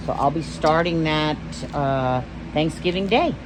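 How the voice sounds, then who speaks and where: A woman's voice: deep voice, measured pace, background noise. A Texan woman hosting a stitching vlog, chatting casually and rambling, often losing her train of thought. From Texas. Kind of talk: casual show-and-tell vlog.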